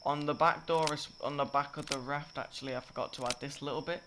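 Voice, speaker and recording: A croaky, slightly sick young man rambling through a game-building tutorial, often correcting himself. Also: on the high side, steady tone, fast. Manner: rambling, self-correcting, filler-heavy. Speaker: gaming tutorial creator. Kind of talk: casual gameplay tutorial commentary. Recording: loud game noise behind. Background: game sound effects and clicks.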